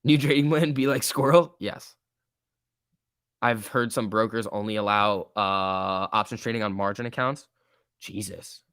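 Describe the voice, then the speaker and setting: A man's voice: on the low side, measured pace, a bit bright, smooth, no echo, studio quality. A man in his early twenties hosting a casual trading livestream, chatting informally and joking as he reads and answers viewer comments. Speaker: livestream host.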